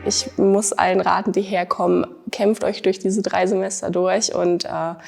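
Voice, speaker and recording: A woman in her twenties from Thuringia, a master's student giving earnest interview answers, often pausing on fillers and repeating words for stress. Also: mid-range voice, little pitch movement, vocal fry, over music. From Thuringia, Germany. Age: 20s.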